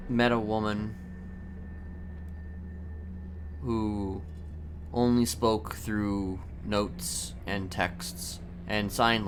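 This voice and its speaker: A man playing a brooding prisoner in a roleplay game, confiding in a halting, deliberate way and repeating words as he goes. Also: fairly low pitch. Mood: confiding, measured. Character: brooding, confiding male prisoner seeking to reform. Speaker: streamer role-playing a character. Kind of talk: improvised in-character storytelling in a crime roleplay game. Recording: loud music behind.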